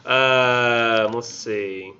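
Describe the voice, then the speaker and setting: A man's voice: medium pitch, fairly even intonation, very slow, pushed and bright. A man teaching a college literature class, retelling a story in a loose, conversational way with acted-out quotes. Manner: casual and conversational, acts out characters in quotes. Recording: muffled classroom recording.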